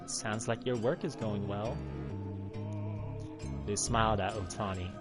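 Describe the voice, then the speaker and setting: Low-voiced man narrating a game story. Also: fairly even intonation, measured pace, over loud music. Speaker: gaming video host. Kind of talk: reading a visual novel aloud, playthrough narration.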